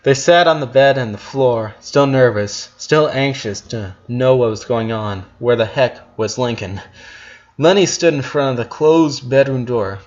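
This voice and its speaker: A man's voice: mid-range voice, unhurried, dull audio. A man reading a story aloud as narrator in a dramatic audiobook style, now and then stumbling and correcting himself. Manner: occasional stumbles and self-corrections.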